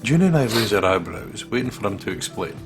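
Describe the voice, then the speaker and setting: A man's voice: fairly low pitch, big pitch swings, brisk, music in the background. A man co-hosting a let's play, casually reading game text aloud and chatting in a jokey way.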